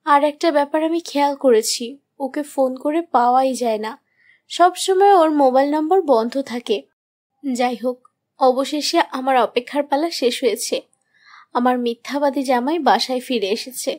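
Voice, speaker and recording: A very high-pitched young wife played by a woman tells her cartoon horror story. She sounds anxious and suspicious of her husband, with dramatic, troubled delivery. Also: normal speed, a little mellow, lightly acted. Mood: worried, suspicious. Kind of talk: first-person scripted horror story narration.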